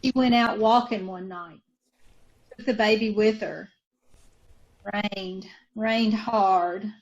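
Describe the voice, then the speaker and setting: A woman's voice: medium pitch, fairly even intonation, slow pace, dull audio. A woman acting a grieving, bitter sister in an old-time radio drama, with plain folksy speech and repeated phrases. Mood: bitter, weary. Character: grieving, bitter sister of a committed young mother.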